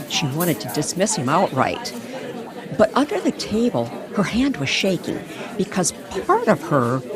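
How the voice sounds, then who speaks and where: A woman reading dramatic scripted narration for a story. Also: medium pitch. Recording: crowd chatter behind the voice.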